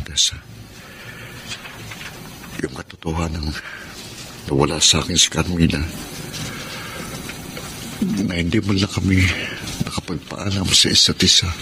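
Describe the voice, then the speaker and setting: A man's voice: deep voice, pushed and bright. An actor playing a tender, grieving widowed father in a Filipino drama, speaking earnestly. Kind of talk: Filipino television drama acting. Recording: clearly noisy location sound.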